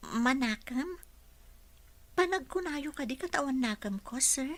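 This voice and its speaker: A woman's voice: high-pitched, lively intonation, measured pace, no echo. A woman acting as a respectful young housekeeper in an Ilocano radio drama, speaking with an Ilocano accent. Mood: deferential, questioning. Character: respectful young woman housekeeper, college graduate job seeker.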